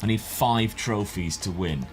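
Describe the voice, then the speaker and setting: Lively male gaming video host, music behind. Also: fairly low pitch, measured pace. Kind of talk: scripted narration and casual chat over a football management game.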